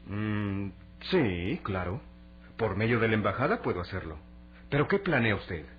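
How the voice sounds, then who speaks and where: An actor playing a courteous, cautious embassy contact in a vintage radio drama, speaking politely and with wary emphasis. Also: male voice, lowish voice, very animated, unhurried. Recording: dull, noisy old radio drama recording.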